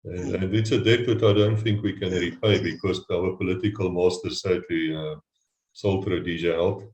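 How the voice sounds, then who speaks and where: Deep-voiced male host in a roomy space. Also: steady tone, normal speed, muffled recording. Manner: earnest, warm praise of guest. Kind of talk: casual interview talk.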